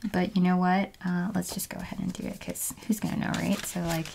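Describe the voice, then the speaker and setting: A woman's voice: fairly low pitch, level tone, fairly quick, vocal fry, a little mellow. A woman chatting casually as she crafts at home, musing to herself and often trailing off into murmurs. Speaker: planner video host. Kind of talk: casual crafting chat, narrating as she works. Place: at home, indoors.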